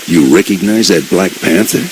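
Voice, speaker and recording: A man's voice: sits low, normal speed, background noise, natural voice. An actor playing a terse, imposing male bouncer in a video game cutscene. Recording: game cutscene with music behind.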